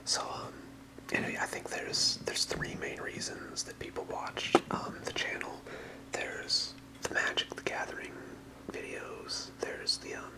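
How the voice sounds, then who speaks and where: A fully whispered voice in the style of a casual ASMR video, with no voicing in it. The speaker rambles softly and hesitantly through a card showcase, sounding apologetic and unscripted. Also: a little slow, rough recording. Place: apartment room.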